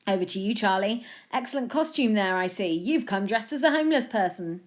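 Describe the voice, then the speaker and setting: A self-important male news anchor acted by a woman, eccentric and declamatory. The delivery swings up and down brightly through a mock bulletin in a children's story. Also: medium pitch, animated, a little fast, pitched above the performer's own voice, close to an everyday voice. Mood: breezy.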